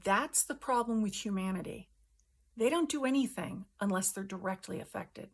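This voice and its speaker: Slow-paced, husky woman speaking earnestly. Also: mid-range voice. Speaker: host sharing a personal spiritual testimony. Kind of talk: earnest spiritual monologue in casual spoken language.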